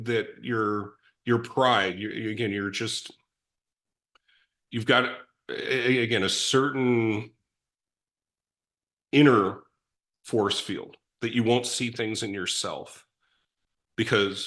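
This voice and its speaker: A middle-aged man in recovery telling his story in a reflective, rambling way with many restarts and self-deprecating asides. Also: mid-range voice. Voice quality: big loud voice. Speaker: guest in recovery.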